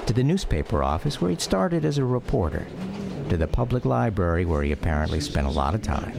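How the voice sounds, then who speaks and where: A low, gravelly grown son reminiscing. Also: male voice, very animated, fairly quick, a crowd in the background. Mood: reflective. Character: grown son wistfully recounting memories of his father. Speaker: narrator of a radio drama. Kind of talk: scripted dramatic memoir narration.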